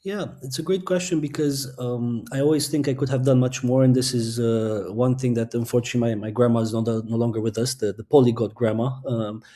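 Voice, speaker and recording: Man, mellow warm tone, podcast guest, thoughtful, long explanations, frequent restarts. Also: mid-range voice, steady tone, brisk, hardly any pauses. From Romania. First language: Romanian. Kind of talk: casual interview talk.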